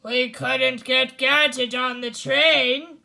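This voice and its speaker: A woman's voice: high voice, flat delivery, slow, creaky, pushed and bright, full character voice. A woman putting on the voice of a gloating male cartoon villain in a bedtime story reading. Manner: snickering, gloating.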